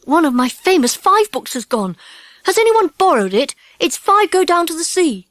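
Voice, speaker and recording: Excitable high-pitched British schoolchild. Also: female voice, normal speed, no echo, exaggerated voice. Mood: annoyed. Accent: British English. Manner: exclamatory, old-fashioned schoolchild talk. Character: earnest, excitable British schoolchildren in a storybook gang.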